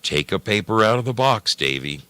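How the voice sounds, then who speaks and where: A man voicing a brisk, formal village official, giving directions in an emphatic, businesslike way. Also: fairly low pitch, big pitch swings, pushed and bright, clear, resonant tone, no echo, voice pushed up. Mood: directing.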